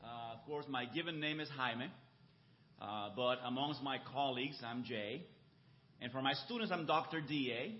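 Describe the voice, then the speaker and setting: A man's voice: mid-range voice, normal speed. An older man from the United States gives a conversational church talk to a congregation, with many fillers and tag questions. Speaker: church mission director. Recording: muffled lo-fi recording.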